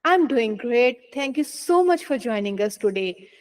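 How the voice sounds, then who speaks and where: A woman's voice: a little high, lively intonation, a little slow. A woman hosting an interview podcast, greeting her guest politely and asking questions, often using "like" as a filler. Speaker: podcast host.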